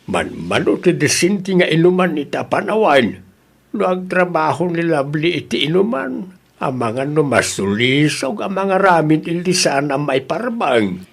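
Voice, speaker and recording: A man acting as a stern old village father in an Ilocano audio drama. He talks very slowly in a raised pitch that swings widely, indignant, with an Ilocano Filipino accent. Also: big pitch swings, gravelly, full character voice. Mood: indignant, grumbling.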